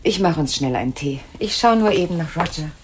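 Composed, courteous wife in radio crime play: lowish voice, conversational, noticeable noise behind. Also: female voice, expressive, fairly quick. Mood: composed, polite. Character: polite, composed wife arriving home. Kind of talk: German radio crime drama acting.

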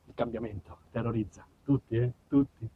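A man's voice: medium pitch, fairly even intonation, normal speed. A man narrating a theatrical comic monologue, addressing listeners directly with dramatic emphasis. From southern Italy. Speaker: storyteller, solo stage performer. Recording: slightly muffled, faint background noise.

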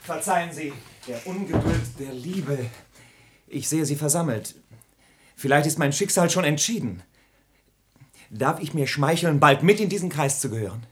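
An actor playing an earnest, impatient young gentleman suitor in a radio comedy, courtly and pleading. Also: a little high, expressive, moderate pace, lightly acted. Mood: hopeful. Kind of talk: stage comedy radio acting.

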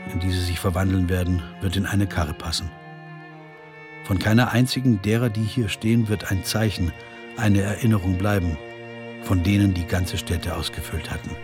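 Deep voiced male prisoner giving testimony, solemn and pained, radio play, faint music under him. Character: anguished male camp prisoner bearing witness to murder. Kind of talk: dramatised testimony reading for radio.

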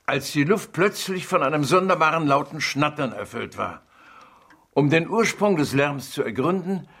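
An actor playing an urbane gentleman in a radio drama, relishing an adventure tale with measured, articulate, theatrical delivery. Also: on the high side, breathy edge, full character voice. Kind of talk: radio drama acting, dramatic reading aloud.

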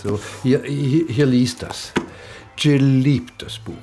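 An actor as an easygoing male pal in a radio drama. His voice is medium in pitch, casual and earnest, with faint music behind it. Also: slow, warm tone, close to an everyday voice. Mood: eager, persuasive. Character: easygoing male pal, eagerly recommending and advising.

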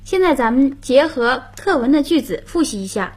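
A woman with a high, slightly husky voice hosts a radio lesson. She explains grammar step by step in a patient teaching tone. She prompts her partner for each practice sentence. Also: animated, moderate pace, a little mellow. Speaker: radio language lesson host. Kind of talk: instructional radio hosting.

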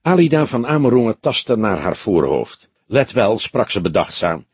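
Male narrator for a novel: animated intonation, slightly rough tone, dry close recording. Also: on the low side, normal speed, no room sound. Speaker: audiobook narrator. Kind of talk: scripted literary narration.

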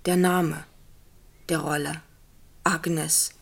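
An actress in a German radio drama playing an earnest film actress who pleads and coaxes in a hesitant, halting way. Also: female voice, low-pitched, little pitch movement, gravelly, breathy edge, full character voice. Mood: hesitant, pleading. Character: earnest, wheedling film actress pleading over her role.

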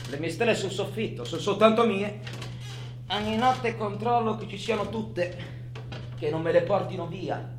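Actor as restless, higher-voiced prisoner, echoey. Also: male voice, background noise, close to an everyday voice. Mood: brooding, haunted. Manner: colloquial, halting, repeats himself, talks as if to a listener. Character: imprisoned adult man, restless, wistful, obsessive, foul-mouthed. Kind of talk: theatrical dramatic monologue in radio theatre.

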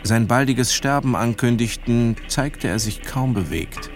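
An actor playing a reflective grown son who narrates memories of his father in a radio drama, measured and deliberate. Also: mid-range voice, faint music behind, lightly acted. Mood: sober, melancholy.

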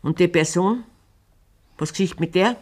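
An actress playing a worn-down but stubborn middle-aged farmer's wife with a Bavarian accent in a German radio play. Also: female voice, lowish voice, normal speed, darkish tone, close to an everyday voice. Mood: suspicious. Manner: stubborn, bitterly sarcastic.

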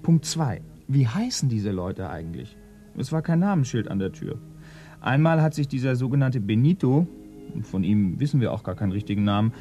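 A know-it-all detective's assistant with a mellow, mid-pitched voice. He is earnest, precise and deadpan, in the manner of a radio crime drama. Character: pedantic, earnest police assistant, walking encyclopedia. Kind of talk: 1990s German radio crime play.

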